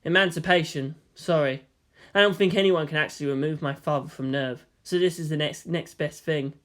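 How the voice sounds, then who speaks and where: Man as a gentle, resigned teenage boy. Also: a little high, normal speed, natural voice. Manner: soft-spoken, apologetic.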